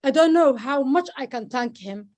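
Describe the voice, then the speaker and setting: A woman from Somalia with a Somali accent speaks at an online meeting over a video call, halting and repeating words, with many fillers. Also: sits high, lively intonation, darkish tone. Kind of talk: informal speech at an online meeting.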